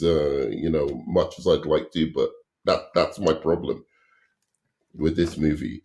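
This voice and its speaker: A man losing his voice, arguing a film review in casual chat, halting with many restarts. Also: low voice, a little mellow. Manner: analytical, explains at length. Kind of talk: casual movie review debate.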